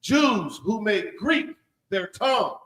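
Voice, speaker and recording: Guy with a high voice reading out a dictionary entry, kinda halting. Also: animated, fairly bright tone. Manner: reading aloud from a reference book. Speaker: student.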